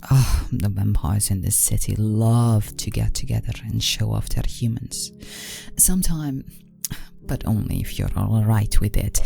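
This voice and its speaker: A woman voicing a genteel, doting vampire aristocrat in an ASMR roleplay, soft and breathy, coaxing, often sinking into a whisper. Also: very deep voice, exaggerated voice. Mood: doting, playful.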